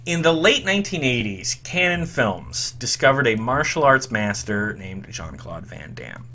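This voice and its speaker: Brief: male podcast host, 30s, bright and forceful tone, eager fan energy, home setup. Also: mid-range voice, very animated, a little slow. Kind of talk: enthusiastic retro music commentary. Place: home room with shelves.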